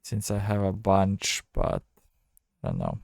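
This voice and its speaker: A man giving casual, low-key game commentary, thinking out loud in halting phrases as he plays. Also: huge pitch swings, creaky, no room sound, clean studio sound. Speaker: gamer narrating own playthrough.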